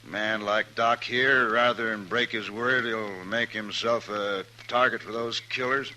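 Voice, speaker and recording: An actor playing a hard, suspicious rancher father bent on revenge, with an American accent and a gruff, terse, brooding delivery in 1950s radio western style. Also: fairly low pitch, steady tone, unhurried, pushed and bright. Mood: grudging. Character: hard, suspicious, vengeful rancher father, middle-aged or older. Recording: noisy vintage radio broadcast.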